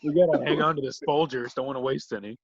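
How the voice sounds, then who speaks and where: A man's voice: mid-range voice, steady tone, quick pace, gravelly, crowd noise. A man joking casually as a guest in a wry way, on a dull, lo-fi recording. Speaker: interview guest, military veteran.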